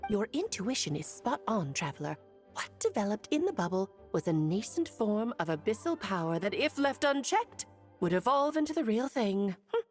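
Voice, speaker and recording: A woman's voice: medium pitch, sing-song, moderate pace, soft background music, natural voice. Woman playing a playful knowing witch. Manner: lilting, theatrical, gently teasing. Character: ageless cheerful witch, knowing, playful, wise. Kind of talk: video game voice acting.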